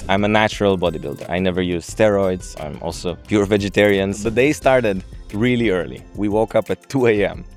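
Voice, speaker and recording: A man in his twenties from Israel, a podcast guest explaining in a calm, matter-of-fact way. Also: on the low side, a little fast, hardly any pauses, soft background music. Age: 20s. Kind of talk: podcast interview.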